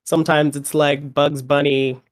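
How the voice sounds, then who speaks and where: A rapper guesting on a podcast, a man who is a little sick with a cold, talking in a relaxed way and laughing often. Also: on the high side, unhurried. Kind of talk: casual interview chat.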